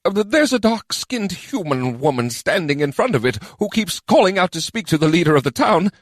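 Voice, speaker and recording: A man voicing a nervous male goblin advisor in an audiobook, squeaky, servile and prone to stammering. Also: very animated, fairly quick, no room sound, clean studio sound. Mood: frightened, stammering. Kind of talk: audiobook character voice.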